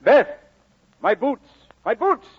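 A man's voice: extremely high pitch, very animated, slow, slightly rough, heavily acted. An actor playing a proud, homely miner and father in an old radio drama, blustering, repetitive and deferential. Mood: blustery, impatient. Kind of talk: 1940s radio drama acting. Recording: muffled old radio broadcast.

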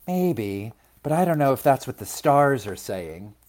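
A man's voice: mid-range voice, big pitch swings, a little slow, a little mellow. A man voicing an eager, dreamy little girl seed in a children's story, pleading and full of questions. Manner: pleading, wide-eyed, inquisitive.

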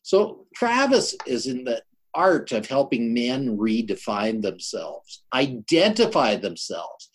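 An older man hosting a show, introducing and interviewing his guest with emphatic, deliberate phrasing. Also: medium pitch. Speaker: show host.

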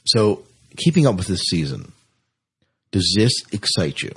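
A man with a very deep, rough-edged voice hosts a casual podcast discussion. His intonation moves around a lot, and he makes his points emphatically before tossing questions to his co-host. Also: very animated, a little slow, fairly bright tone.

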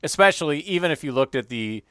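A man co-hosting a podcast, chatting casually about a cooking show, animated and quick to laugh at his own jokes. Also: on the high side, smooth, no echo, studio quality. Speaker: podcast co-host. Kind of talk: casual chat.

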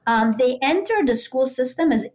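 A woman's voice: high-pitched, lively intonation, normal speed, darkish tone. A middle-aged woman, a native Italian speaker with an Italian accent, explaining things conversationally as an expert interview guest.

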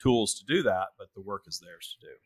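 A man's voice: sits low, lively intonation, fairly quick, dry recording. A man talking earnestly and reflectively about spiritual practice in a conversational way, as a show host, with emphasis on his points.

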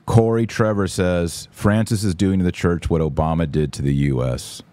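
A man's voice: sits low, steady tone, moderate pace, mellow. A man hosting a podcast, giving casual, opinionated religious commentary, speaking to his audience in an informal, emphatic, direct way. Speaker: podcast host; online course teacher.

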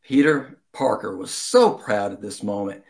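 A man reading a children's story aloud as an amateur storyteller, deliberately, with some stumbles and throat-clearing. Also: on the low side, darkish tone.

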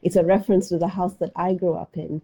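Middle-aged woman academic from Sri Lanka, low and very dark voice, hesitant with restarts. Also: little pitch movement, fast talker, gravelly, breathy edge. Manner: hesitant, many restarts and fillers. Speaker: anthropologist guest. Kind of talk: interview answers.

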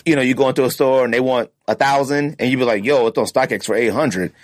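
A man's voice: mid-range voice, fast, no room sound, studio quality. A man hosting a podcast in casual, opinionated chat, talking bluntly in slang and repeating phrases for emphasis. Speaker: podcast host.

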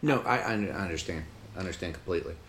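A man hosting an interview, teasing and opinionated, with quick interjections. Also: low-pitched, animated, fairly bright tone. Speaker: interview host. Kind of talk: conversational interview.

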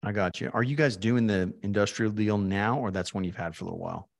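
Male podcast host, low gravelly voice, informal and chummy interview chat. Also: fast talker.

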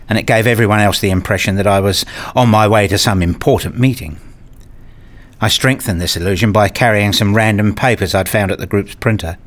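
A man's voice: on the low side, lightly acted. Dry, bookish male academic played by an actor. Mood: dry, wry. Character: wry male academic narrating his own puzzled days. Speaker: narrator and lead character in a radio play. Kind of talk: scripted first-person narration and dialogue.

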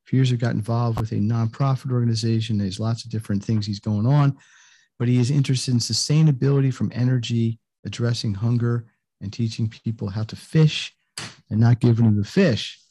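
A man's voice: medium pitch, steady tone, brisk, dark tone, husky. An older man hosting a podcast interview in an effusive, conversational way, often repeating words for emphasis. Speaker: podcast host.

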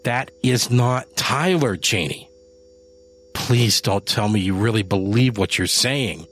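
Patient husband, gentle and worried; a man reads him at a slow pace. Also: on the low side. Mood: firm.

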